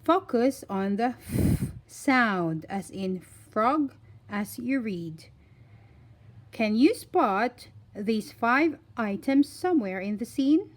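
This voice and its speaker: Very slow-paced woman teaching phonics. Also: a little high, expressive. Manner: deliberate, instructional, enunciating single words. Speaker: children's phonics teacher and reader. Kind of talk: scripted read-aloud phonics lesson.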